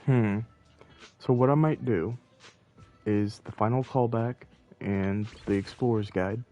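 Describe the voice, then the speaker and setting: A man with a fairly low, soft-edged, mellow voice chats casually to his livestream. He takes his time and rambles as he thinks aloud. Game music plays behind him. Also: takes it slowly, muffled recording. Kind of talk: casual livestream chat while playing.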